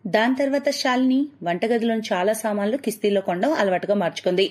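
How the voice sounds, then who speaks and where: A woman's voice: mid-range voice, fast, a little mellow. A woman narrating a scripted moral story as a storyteller.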